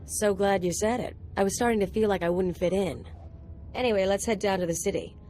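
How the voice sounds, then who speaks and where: A woman's voice: mid-range voice, fairly quick, dark tone, slight background noise, natural voice. An actress playing a cocky, sardonic young female sidekick in a video game, wry and casual. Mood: wry, teasing. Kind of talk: scripted game cutscene dialogue.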